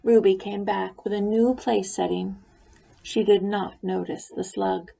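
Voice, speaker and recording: A woman reads a children's picture book aloud in an unhurried, gentle storytelling voice. Her pitch sits in a middle range, and she lets each line settle before going on. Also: creaky, breathy edge, poor audio. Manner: slow, gentle storytelling with lively quoted lines. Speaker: reader.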